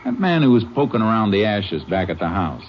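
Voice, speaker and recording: Deep-voiced, unflappable Texas Ranger. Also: male voice, sing-song. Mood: deductive, assured. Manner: terse, measured, matter-of-fact. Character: calm, sharp-eyed male Texas Ranger, unflappable. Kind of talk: 1940s-50s radio western acting. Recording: muffled, hissy old-time radio recording.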